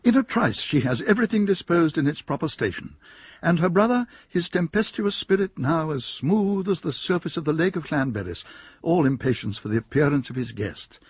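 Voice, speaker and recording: A man's voice: on the high side, lively intonation, a little fast, slightly rough, no echo. A man narrating a radio drama in a dry, wry storytelling manner.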